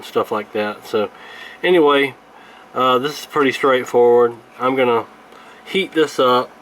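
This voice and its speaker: A man giving a casual hands-on repair tutorial in a halting, unscripted, explanatory way. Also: medium pitch. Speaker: radio repair video host. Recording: clear background noise.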